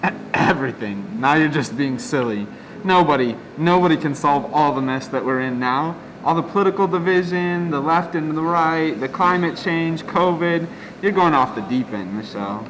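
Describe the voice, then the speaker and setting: Skeptical, higher-pitched man in a radio play. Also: a bit bright, slight background noise, lightly acted, low-quality recording. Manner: halting, questioning. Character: skeptical, fretful man questioning his friend.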